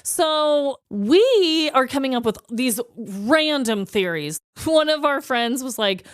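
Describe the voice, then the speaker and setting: A woman co-hosting a comedy podcast in a casual storytelling style. Her voice is high and swings widely in pitch, with emphatic, dramatic delivery. The recording is close and dry. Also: expressive, takes it slowly, clear, resonant tone, no echo. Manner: dramatic, emphatic storyteller who repeats words and checks in with "okay?". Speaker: podcast co-host.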